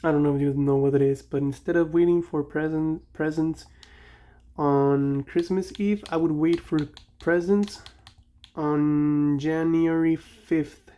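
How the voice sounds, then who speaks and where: Young man with a Mexican accent, mellow. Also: a little high, fairly even intonation, measured pace. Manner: rambling, nostalgic, self-correcting. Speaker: gaming channel host. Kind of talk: casual commentary while playing.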